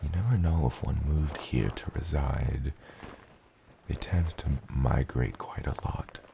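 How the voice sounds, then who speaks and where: A man voicing a gentle, proud dragon father in an ASMR roleplay, tenderly encouraging his hatchling in a gravelly, often breathy and whispered voice. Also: a bass voice, background noise, full character voice. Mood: playful, caring. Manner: slow, tender, encouraging, softly playful.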